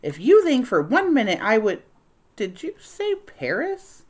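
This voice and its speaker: A woman's voice: on the high side, huge pitch swings, unhurried, voice pushed up, exaggerated voice. Woman putting on exasperated, sarcastic young nanny. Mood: shrieking, indignant. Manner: sarcastic, indignant.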